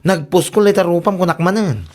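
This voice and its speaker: A woman's voice: lowish voice, fairly quick, a little mellow, close to an everyday voice. A woman playing a blunt, scolding village neighbour. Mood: scolding, exasperated. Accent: Ilocano. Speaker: actor in an Ilocano comedy radio drama. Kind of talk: comedic radio drama acting.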